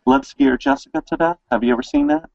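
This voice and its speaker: A man's voice: very high voice, expressive, fast, creaky, darkish tone, no room sound, dull audio. A man hosting a casual interview, talking informally and enthusiastically. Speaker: interview host. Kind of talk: casual chat.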